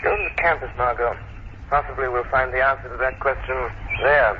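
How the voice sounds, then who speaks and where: A man plays a thoughtful, suave gentleman sleuth in old radio style. He talks quickly at a medium pitch, composed, on a dull recording. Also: steady tone, very noisy, close to an everyday voice. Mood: concerned. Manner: urbane, composed. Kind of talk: 1940s radio acting.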